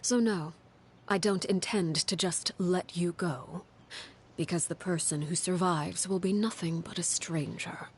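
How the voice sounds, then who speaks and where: Low, composed, commanding matriarch. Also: female voice, darkish tone, slight background noise. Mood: cold, solemn. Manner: measured, formal, commanding. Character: stern, composed woman who rules an orphanage as 'Father'. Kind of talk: dramatic video game voice acting. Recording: music under the voice.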